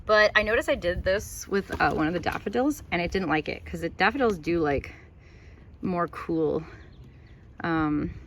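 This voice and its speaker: A woman's voice: on the low side, lively intonation, normal speed, a bit bright. A Canadian woman from Ontario giving a rambling, chatty walkthrough of her garden, gushing over her plants. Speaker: gardening vlogger. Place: outdoors in a home garden. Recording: outdoor noise behind voice.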